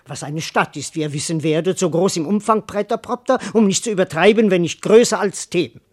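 An actor playing a cowardly, boastful, cheeky servant in a classical verse radio play, declaiming in a wordy, comically self-important way. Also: male voice, sits high, brisk. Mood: boastful, grandiose.

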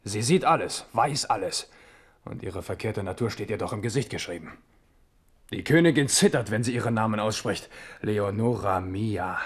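An aging French king in a radio drama, low in pitch and commanding. He speaks with regal, sardonic theatricality and a wary edge. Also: lively intonation, normal speed, breathy edge. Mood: agitated, scornful. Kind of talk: radio play acting.

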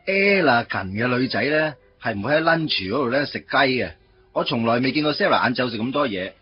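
An actor playing a wry, teasing office worker in a Cantonese radio drama, with a Hong Kong accent and a casual, grumbling delivery. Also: male voice, medium pitch, animated, fairly quick, lo-fi sound, faint music behind, lightly acted. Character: wry, teasing male office worker meeting his first love.

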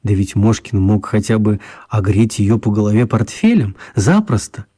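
A man narrating a children's radio play as an expressive, wry storyteller. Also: fairly low pitch, normal speed, breathy edge. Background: music.

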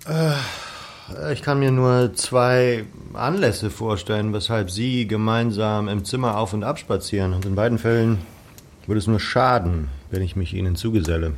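An actor playing a proud, reserved young Regency gentleman in a radio drama, with measured, formal and slightly hesitant phrasing. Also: lowish voice, slight background noise, close to an everyday voice. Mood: dry, hesitant. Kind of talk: naturalistic German radio drama acting.

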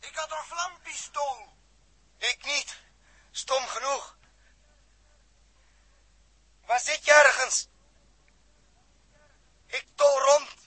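An actor playing a brave young astronaut stranded in space, husky-voiced, in a 1960s radio drama. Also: male voice, very high voice, sharp tone, no room sound, exaggerated voice.

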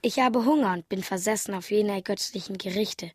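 A woman as the inner voice of a young, naive traveller in a radio drama. It is a husky, mid-pitched voice observing things in the present tense, recorded close with no room sound. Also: a little fast, no echo, close to an everyday voice. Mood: observant, naive.

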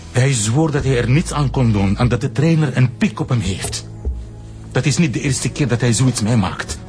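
A man's voice: medium pitch, close to an everyday voice. A man acting an indignant, defensive middle-aged father under police questioning in a naturalistic radio drama.

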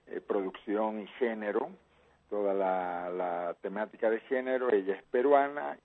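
Cuban-born man, low husky voice, measured, pausing to think, heard over a tinny phone line. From Cuba. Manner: measured, thinking aloud with many pauses. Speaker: interview guest, radio producer. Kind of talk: phone interview.

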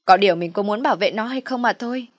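A woman's voice: mid-range voice, fast, no room sound, studio quality, natural voice. A woman voicing a scheming pregnant wife who scolds or coaxes with calculation in an audio story. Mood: coaxing, sly.

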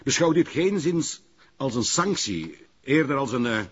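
An actor playing a pompous, evasive middle-aged burgomaster in a TV crime drama, with formal, wordy phrasing and a Flemish Belgian accent. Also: male voice, medium pitch, very animated, a little slow, slightly rough. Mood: placating. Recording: muffled, lo-fi broadcast audio.

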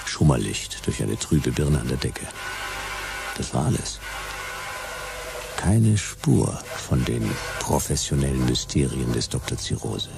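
Noir detective telling his story, super low gravelly voice, dry and clipped, music behind. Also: unhurried, full character voice. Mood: brooding, laconic. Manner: laconic, deadpan, clipped phrases. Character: hard-boiled male detective narrating his own case. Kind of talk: noir first-person radio play narration.

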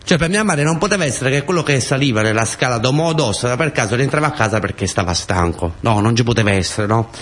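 A man's voice: mid-range voice, lively intonation, fast, few pauses, a bit bright. A man with a southern Italian accent performing a theatrical storytelling monologue in short, colloquial, repeated phrases. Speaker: actor and storyteller.

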